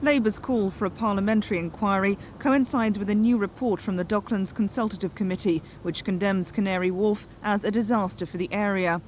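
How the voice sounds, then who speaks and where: Female news reporter, medium pitch, scripted report narration, slight background rumble. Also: fairly quick, few pauses, a little mellow, rough recording.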